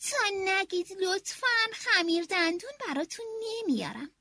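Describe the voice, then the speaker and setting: A woman's voice: extremely high pitch, big pitch swings, sharp tone, dry recording, voice pushed up, heavily acted. A woman doing an indignant, squealing bath maid. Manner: exclaiming, scolding.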